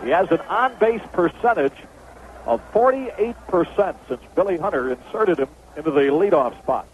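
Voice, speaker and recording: A man's voice: sits high, lively intonation, normal speed, narrow, tinny audio, a little hiss or hum. A man calling baseball play-by-play as radio commentary on an old broadcast.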